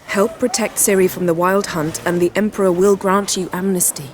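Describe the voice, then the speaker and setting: Actress as curt, self-assured sorceress, cool precise delivery, faint outdoor ambience. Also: female voice, medium pitch, steady tone, moderate pace, slight background noise, natural voice. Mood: businesslike. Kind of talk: cinematic fantasy game acting.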